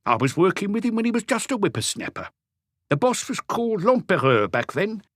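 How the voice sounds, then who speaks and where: An old workman in an audiobook mystery, pitched well up from a normal male register. He is cagey and wry, turns questions back with questions, and his colloquial lines rise and dip freely. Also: very animated, brisk, no room sound, studio quality. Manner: colloquial, evasive, answers questions with questions. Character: cagey old Parisian workman, stocky house painter, wry.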